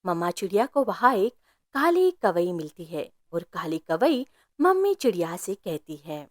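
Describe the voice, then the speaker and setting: A woman's voice: fairly low pitch, sing-song, measured pace, no echo, studio quality. A woman reading scripted narration for a children's cartoon story as its narrator.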